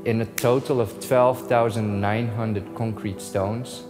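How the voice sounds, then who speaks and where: A man's voice: on the low side, fairly even intonation, a little slow, over music. Dutch-raised man touring his new store. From Netherlands. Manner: enthusiastic storyteller, acts out phone calls. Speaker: store owner giving a tour. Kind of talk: casual walkthrough narration. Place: inside a large shop.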